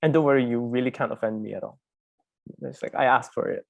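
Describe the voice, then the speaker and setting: A man's voice: mid-range voice, fast talker, gravelly, no echo. A man with a Malaysian accent giving an informal, conversational webinar presentation, prompting his listeners as he speaks.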